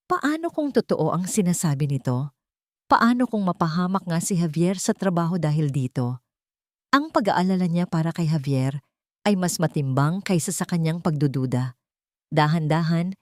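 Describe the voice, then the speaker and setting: Low-voiced woman narrating romantic drama. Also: darkish tone, no echo, studio quality. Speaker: storyteller.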